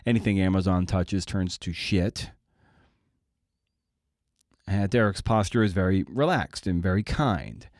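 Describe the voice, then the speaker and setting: A middle-aged man with a deep voice talks as a podcast host. His delivery is casual and rambling, with a sardonic, self-deprecating edge and some blunt swearing. The sound is very dry, free of room echo. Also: animated, unhurried, long pauses, a little mellow.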